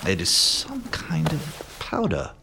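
Pompous detective with wildly swooping delivery. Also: male voice, on the high side, wildly swooping pitch, vocal fry, over music, full character voice. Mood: musing, self-satisfied. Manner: theatrical, haughty, deliberate, given to hushed musing. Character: pompous, theatrical male master detective, aloof and self-assured. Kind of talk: comic period murder-mystery audio drama acting.

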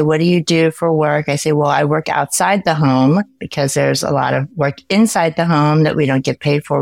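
A woman in her fifties with a notably low voice talks candidly as a podcast guest. She laughs easily and speaks in quick bursts. The sound is dry and close, with no room in it. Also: creaky, dry recording. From United States. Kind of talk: casual podcast conversation.

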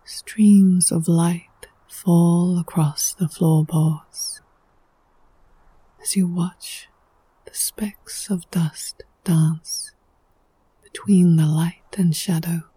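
A woman leading a guided meditation in a soft, breathy voice that often sinks near a whisper, hushed, soothing and unhurried, with poetic scripted phrasing. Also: fairly low pitch, steady tone, dry recording, rough recording. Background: music.

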